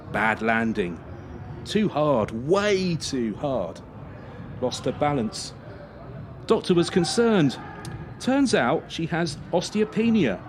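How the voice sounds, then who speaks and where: A man's voice: a little high, slight background noise. A man playing a stern gymnastics coach in a radio play, speaking in a clipped, authoritative manner. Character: stern male gymnastics team coach delivering grave news.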